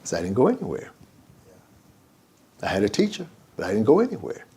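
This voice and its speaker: Animated older imam. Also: male voice, on the low side, fairly quick, creaky, husky. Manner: animated and digressive, acts out conversations. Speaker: imam teaching a class. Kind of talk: informal religious lesson.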